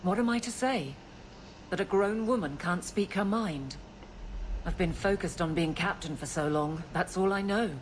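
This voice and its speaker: An actress playing an earnest, guarded guard captain who talks haltingly about her feelings in a video game. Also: on the low side, slight background noise, close to an everyday voice, low-quality recording. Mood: frustrated, vulnerable. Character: grown woman guard captain, earnest, awkward in love, frustrated. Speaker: game character.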